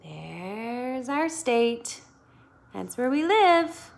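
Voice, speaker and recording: An American woman reading a picture book aloud to children in a teacherly way, pausing to put questions to them. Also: fairly high pitch, big pitch swings, vocal fry. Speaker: read-aloud host for children.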